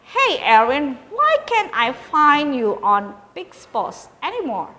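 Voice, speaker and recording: A woman's voice: high-pitched, wildly swooping pitch, takes it slowly, pushed and bright, voice pushed up, full character voice. Woman reading as mean, taunting schoolgirl bully. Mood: feigned innocence. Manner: taunting, scornful.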